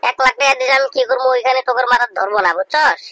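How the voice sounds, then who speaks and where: A man voicing a young village man who teases his friend in crude, rough banter, speaking. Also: very high-pitched, rapid-fire, a little hiss or hum. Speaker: voice actor. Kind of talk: comedic cartoon dialogue. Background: loud music.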